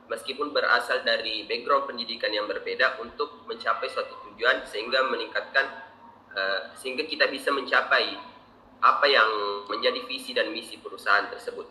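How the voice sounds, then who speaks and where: Bright-voiced Indonesian man, roomy room. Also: mid-range voice, slight background noise. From Makassar, South Sulawesi, Indonesia. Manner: measured, methodical, pauses with fillers. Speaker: interviewee, engineer. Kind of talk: formal interview answers. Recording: roomy, distant mic, faint ambience.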